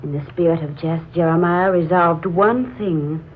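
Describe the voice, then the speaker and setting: A woman's voice: fairly low pitch, normal speed, slight background noise, lightly acted. An actress playing an earnest, solemn young woman uneasy about her family legend, in 1940s radio drama style. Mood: earnest explaining. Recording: muffled 1940s radio recording, organ music behind.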